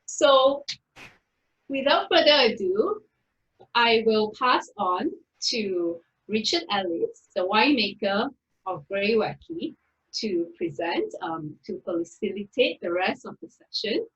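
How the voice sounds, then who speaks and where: A woman's voice: medium pitch, animated, takes it slowly, a bit bright. Chatty woman hosting over a dull call. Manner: chatty, with many fillers. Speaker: host of a wine tasting. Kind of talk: friendly event introduction.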